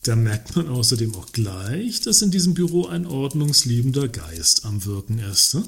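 An actor playing an ingratiating works council member in a satirical radio play, mock-deferential in manner. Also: male voice, medium pitch, big pitch swings, normal speed, vocal fry, a bit bright. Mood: flattering.

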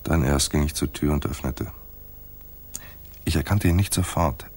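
An actor playing a world-weary, sardonic private detective who also narrates in a radio crime drama, with a dry, wry, clipped delivery. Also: male voice, extremely low pitch, moderate pace, a little mellow. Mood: brooding narration.

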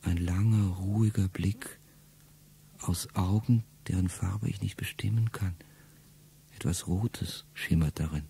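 A middle-aged man speaking a first-person radio play monologue in a low, intimate way that often sinks to a whisper. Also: measured pace, vocal fry, mellow, slight background noise.

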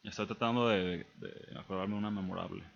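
A young man with a Mexican accent, a guest on a casual radio interview, relaxed and hesitant with many fillers. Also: sits low, fairly quick, vocal fry, highs cut off. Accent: Mexican Spanish.

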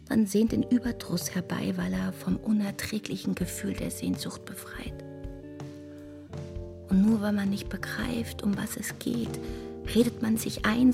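Intense, breathy, earnest woman. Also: fairly high pitch. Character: anxious, earnest, pleading woman, intense and wistful. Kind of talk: German radio drama acting.

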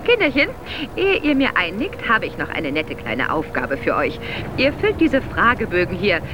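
An actress playing a bossy, impatient female teacher in a radio drama, briskly ordering children about and talking down to them. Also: lowish voice, wildly swooping pitch, noisy background. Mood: sugary, commanding. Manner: brisk, scolding, talks down to children.

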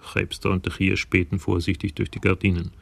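A man narrating a scripted crime story in a radio drama, with a gravelly voice. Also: sits low, level tone, brisk, no room sound.

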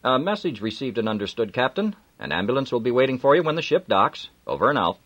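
A man voicing a brisk, official male harbour master speaking over ship radio. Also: medium pitch, sing-song, a bit bright, muffled recording, voice pushed up.

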